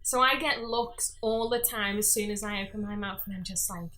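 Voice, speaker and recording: Bright young Scouse woman. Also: mid-range voice, moderate pace, slight background noise. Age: early 20s. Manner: animated storyteller who acts out dialogue. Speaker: podcast guest. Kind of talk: casual chat, anecdotes.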